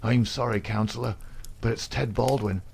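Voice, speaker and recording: Man doing a jittery apologetic bartender, gravelly voice. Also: mid-range voice, fairly even intonation, darkish tone, a little hiss or hum. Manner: hurried, apologetic. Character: nervous, apologetic male bartender.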